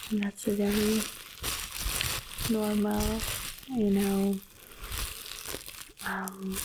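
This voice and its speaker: A woman speaking softly and breathily in an ASMR medical roleplay, often slipping into a whisper, gentle and reassuring, close to the microphone. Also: medium pitch. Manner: gentle, reassuring, unhurried, softly checking with okay. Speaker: ASMR performer playing medical specialists in roleplay. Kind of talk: soft-spoken ASMR medical roleplay, close-up personal attention. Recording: close-up mic with faint background noise.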